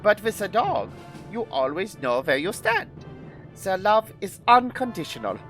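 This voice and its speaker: A woman's voice: mid-range voice, sing-song, measured pace, a bit bright, faint music behind. A woman acting a grandiose, theatrical villain with wounded pride in an audio drama, declaiming each speech. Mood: bitter, theatrical.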